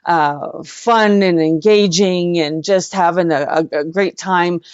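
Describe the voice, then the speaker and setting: A woman's voice: on the low side, slow, clean tone, dry recording. A woman chatting casually as an interview guest, recorded through a phone. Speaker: guest, singer-songwriter. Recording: dull, dry phone audio.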